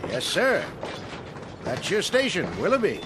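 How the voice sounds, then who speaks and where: An actor playing a courteous, cheery old-time railway conductor in a radio drama, calling out in a formal singsong. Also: male voice, high voice, huge pitch swings, unhurried, noisy background, full character voice.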